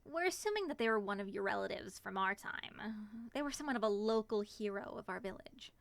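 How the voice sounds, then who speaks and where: A woman playing a playful, bubbly moth-human monster in an ASMR roleplay, coaxing and teasing in an expressive way. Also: mid-range voice, fairly quick, gravelly, no room sound. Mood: curious.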